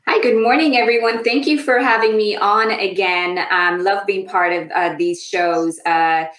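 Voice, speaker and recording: A woman with an Irish accent speaking as a panel guest, friendly and filling pauses with um and uh. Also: medium pitch, fairly bright tone, echoey room. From Ireland. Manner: friendly, many um and uh fillers. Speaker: recruitment director, panel guest. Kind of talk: online panel talk.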